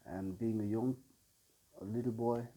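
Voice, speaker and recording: A man in his forties with a Nepali accent tells his own story as a sound healer. His voice is mellow and rather low, and he speaks very slowly with many pauses and filler sounds. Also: steady tone, rough recording. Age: 40s. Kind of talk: personal life story told to camera.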